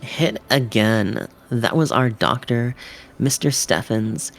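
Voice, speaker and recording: A woman voicing a dry, mock-solemn student gamer in a game, needling in a deadpan, theatrically grave manner. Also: extremely low pitch, slow pace, vocal fry, soft background music, full character voice.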